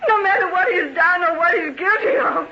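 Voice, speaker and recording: An actress playing a distraught, pleading gentlewoman in an old-time radio melodrama, her voice tearful and breaking. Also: very high voice. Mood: desperate, tearful. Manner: slow, fervent, melodramatic pleading. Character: distraught, pleading gentlewoman in love with a doomed man. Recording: dull, hissy old radio recording.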